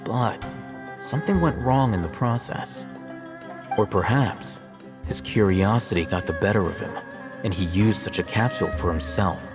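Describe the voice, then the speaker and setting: An actor playing a calm, measured male academy scribe who explains things in a scholarly way in a video game. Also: lowish voice, close to an everyday voice. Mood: reasoned. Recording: long reverb with game music.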